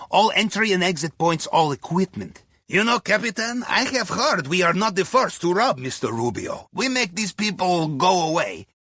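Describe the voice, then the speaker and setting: An actor playing a wry, upbeat heist planner in a video game, with a Russian accent, a husky voice and folksy, article-dropping speech. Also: male voice, high-pitched, sharp tone, no room sound, studio quality, heavily acted. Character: wry, upbeat male submarine captain's sidekick and heist planner. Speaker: mission guide. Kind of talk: scripted video game voice lines.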